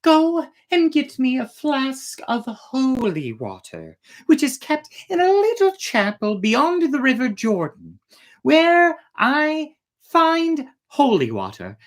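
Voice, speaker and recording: A storyteller voicing a young fairytale princess, demure and poised but quietly demanding. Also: high voice, wildly swooping pitch, slow pace, pitched above the performer's own voice, heavily acted. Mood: coy, demanding.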